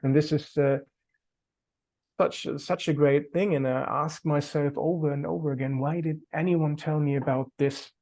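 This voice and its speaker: A man's voice: fairly high pitch, steady tone, moderate pace, darkish tone. A man with a German accent, a native German speaker, talking earnestly as a qigong teacher on a video call, sometimes searching for words.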